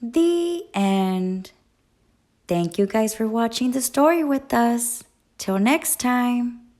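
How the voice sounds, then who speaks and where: Woman teacher, storybook read-aloud, gentle, slow, very expressive. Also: a little high. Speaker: teacher reading to kids.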